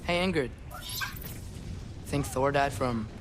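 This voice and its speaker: A man's voice: medium pitch, slow pace, music in the background, natural voice. A young male actor playing an earnest, guarded teenage half-giant boy in a video game. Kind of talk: naturalistic video game acting.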